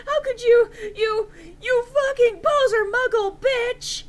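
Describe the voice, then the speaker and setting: A woman voicing a wounded, hysterical teenage goth boyfriend, shouting in an overwrought wail for a story reading. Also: very high voice, takes it slowly, pitched above the performer's own voice. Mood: hurt, furious.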